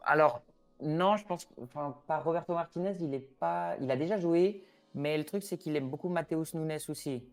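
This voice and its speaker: A man's voice: a little high, normal speed, faint music behind. A man with Porto roots giving his opinions in a casual football discussion, hesitant with many fillers and restarts. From Portugal (Porto roots). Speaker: expert guest on Portugal.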